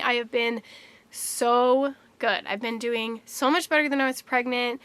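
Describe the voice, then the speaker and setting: A woman's voice: on the high side, pushed and bright, dry recording. A woman, a mother of three, chatting candidly to camera in a casual vlog, slightly congested and nasal, laughing often.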